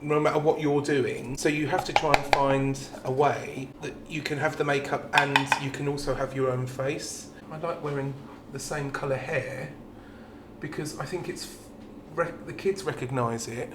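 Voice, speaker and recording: A man's voice: medium pitch, steady tone, moderate pace. A man with a British accent talking informally and reflectively in an interview, often letting a thought trail off. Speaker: pantomime dame performer. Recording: roomy echo with background noise.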